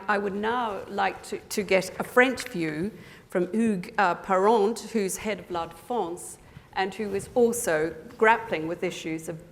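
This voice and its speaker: A woman moderating a panel discussion, summing up and introducing the next speaker in a measured way. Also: lowish voice. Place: conference hall. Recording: reverberant conference hall.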